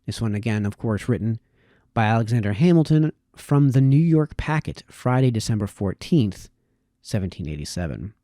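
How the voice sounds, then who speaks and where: A man reading formal historical prose aloud in a measured, deliberate way, with occasional stumbles over words. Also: on the low side, expressive, warm tone, dry recording, clean studio sound. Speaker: reader, host of a reading series.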